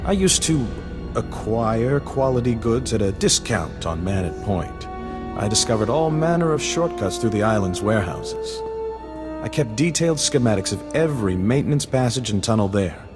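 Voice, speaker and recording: A man's voice: fairly low pitch, lively intonation, moderate pace, close to an everyday voice. Man acting sly courteous smuggler informant, echoing hall. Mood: smug, instructive. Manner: florid, mock-polite, wordy. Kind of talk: video game character dialogue. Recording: reverberant hall sound under music.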